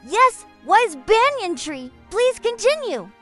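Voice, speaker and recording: A woman's voice: extremely high pitch, big pitch swings, slow pace, a bit bright, soft background music, heavily acted. A woman voicing a young, cheeky shepherd boy in a cartoon fairy tale, with a childlike delivery. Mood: curious. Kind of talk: animated fairy tale character acting.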